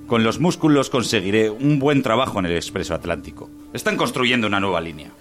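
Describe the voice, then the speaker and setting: Animated male voice, actor as defensive hot-headed husband, forceful, domineering, audio drama, faint music. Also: lowish voice, a little fast. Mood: defensive, boastful. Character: vain, short-tempered, defensive working-class husband.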